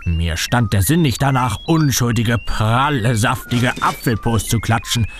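A shady old reporter, voiced by a man, who talks in a theatrical, ominous, overdone manner. His voice sits at a middle pitch. Faint music plays under the radio play. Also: expressive, a little slow, natural voice. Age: older. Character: shady older male reporter, creepy and theatrical.

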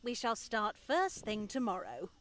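A woman playing a pious, stern older nun in a visual novel game, speaking in a measured, formal, sermonizing way. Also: mid-range voice, sing-song, fairly bright tone. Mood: benevolent, formal. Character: pious, stern older nun addressing girls in her care.

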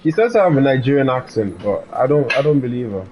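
A man's voice: mid-range voice, sing-song, fast talker, background noise. A man giving casual let's-play commentary, chatting and laughing with someone off-mic. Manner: chatty, laughs and talks aside to someone in the room. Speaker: gaming streamer.

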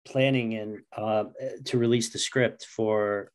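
A man's voice: lowish voice, fairly even intonation, slow pace, clean tone. Middle-aged man, casual interview guest. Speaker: guest, writer. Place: indoors, on a video call. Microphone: video call microphone.

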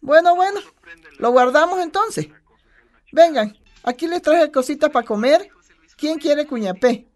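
A high-voiced old Bolivian grandma in a radio drama. She is folksy and doting, protective of her family, and talks in fond diminutives. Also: expressive, unhurried, pauses often, darkish tone. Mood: reassuring. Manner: affectionate, folksy, uses many diminutives.

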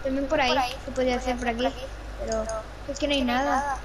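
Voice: sits high, fairly even intonation, quick pace, background noise. A playful voice chatting casually during gameplay roleplay, repeating short phrases. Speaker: gamer in a roleplay video.

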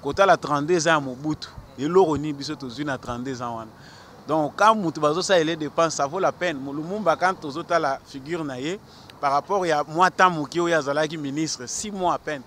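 Congolese guy in his 30s, kinda high voice, earnest, stammers a lot, handheld mic on the street, faint traffic. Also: a little fast, a bit bright. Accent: Congolese French with Lingala. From Congo (DRC). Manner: earnest and argumentative, many repeated stammers; gestures with his hand while talking. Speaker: passer-by interviewed on the street. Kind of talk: street interview, mixing French and Lingala. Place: outdoors on a busy city square. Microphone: handheld mic held out by the interviewer. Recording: faint street noise outdoors.

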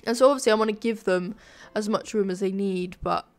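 A woman's voice: mid-range voice, fairly even intonation, brisk, a little mellow, faint music behind. Nasal, chatty woman with a cold. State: ill with a sore throat, slightly flat voice. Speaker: gaming video creator, narrator. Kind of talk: casual speed-build commentary, chatty voice-over.

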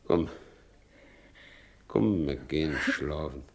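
An actor playing an aging, broken, guilt-ridden carter in a 1960s radio play, speaking in a brooding, halting, plain way. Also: male voice, a bass voice, expressive, creaky, husky, exaggerated voice. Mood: brooding, distracted. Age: middle-aged.

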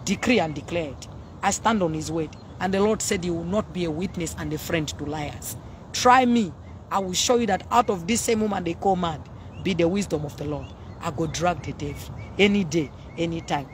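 A woman's voice: fairly low pitch, normal speed, slight background noise. A woman, preaching fiery and emphatic on a phone livestream outdoors. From Zambia. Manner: fiery, emphatic, jabbing with her hands. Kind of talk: impassioned preaching on a live stream. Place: outdoors by a hedge. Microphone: phone held at arm's length.

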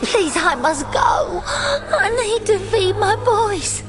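A woman's voice: very high voice, very animated, slow, music in the background, full character voice. A woman playing a tormented, trapped ghost of a mother in an audio drama, crying and pleading. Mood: anguished pleading.

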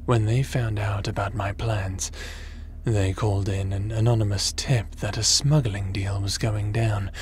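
A man playing a cold, scheming crime boss in a sci-fi audio drama, speaking in a menacing, measured, smug and theatrical way. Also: lowish voice, slight background noise, natural voice.

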